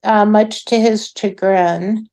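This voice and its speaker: A middle-aged woman, a guest in a casual chat, with a loud voice and a friendly, drawn-out way of talking. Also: mid-range voice, steady tone.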